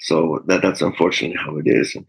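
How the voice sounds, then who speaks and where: Husky-voiced man telling stories. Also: rumbling low, fast, low-quality recording. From Finland. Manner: relaxed storytelling with frequent fillers and chuckles. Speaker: guest musician. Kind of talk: casual interview talk.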